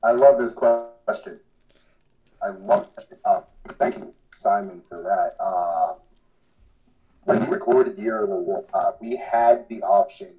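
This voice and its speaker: A man's voice: on the low side, mellow, poor audio. A man giving casual, slangy interview answers as a podcast guest, earnest and rambling. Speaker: podcast guest, hardcore band vocalist.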